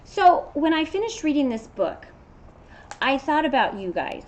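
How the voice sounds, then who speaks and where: A woman's voice: high-pitched, big pitch swings, unhurried, low-quality recording. A middle-aged American woman talking casually and encouragingly to children, like a teacher during a read-aloud. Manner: encouraging, conversational. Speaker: elementary teacher reading aloud. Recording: clear background noise.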